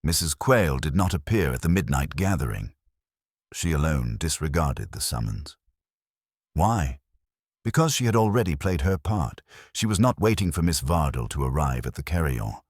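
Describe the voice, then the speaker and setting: Guy doing a super deep stern genius detective in an audiobook, formal, coldly accusing, husky. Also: big pitch swings, no echo, studio quality, heavily acted. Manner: formal, deliberate, pronouncing judgment.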